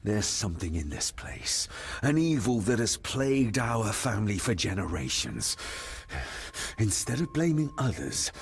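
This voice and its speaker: An actor playing an earnest, indignant young gentleman in a video game, intense and questioning, with a very breathy voice. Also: fairly low pitch, sing-song, measured pace, full character voice. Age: young adult. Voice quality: very breathy, husky. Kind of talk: dramatic video game acting.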